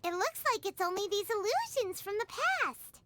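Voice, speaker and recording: A woman voicing a tiny, excitable, childlike fairy companion in a video game, chirpy in manner. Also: very high voice, normal speed, a little hiss or hum, exaggerated voice. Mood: bright, curious. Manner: chirpy, speaks of herself in third person. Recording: loud music behind the voice.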